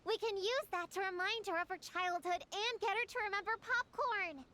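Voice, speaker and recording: A woman voicing a chatty, excitable tiny companion girl in a game over background music. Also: very high voice, normal speed, noisy background. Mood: excited. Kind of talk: game voice acting.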